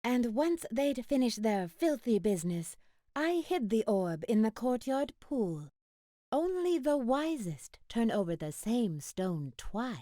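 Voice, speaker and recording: A cryptic geisha in a game cutscene. Her mellow voice rises and falls expressively at an unhurried pace. She sounds composed and knowing. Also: on the high side, sing-song, no room sound, studio quality. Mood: cryptic calm. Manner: measured, knowing. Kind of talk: video game cutscene acting.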